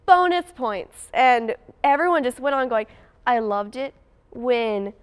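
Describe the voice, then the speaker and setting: Teenage female, slightly high pitch, clean voice, expressive casual storytelling, interview. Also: takes it slowly, clear, resonant tone. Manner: expressive, acts out quotes. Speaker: student in a theater cast.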